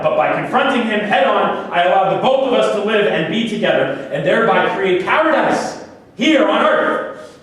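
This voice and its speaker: Man as obsessed madman, grandiose preaching manner, high male voice, staged reading, cavernous echo, distant mic. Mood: fervent. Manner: grandiose, preachy, intense declaiming. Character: fervent, delusional, manipulative murderer obsessed with a woman. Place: large room at a staged reading. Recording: very echoey room, far mic, faint noise.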